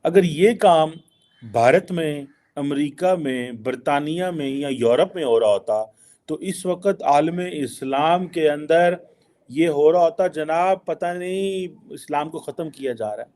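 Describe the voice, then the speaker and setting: Male commentator, higher-than-average voice, emphatic rhetorical delivery with some English mixed in. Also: unhurried, a little mellow. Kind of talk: spoken political commentary.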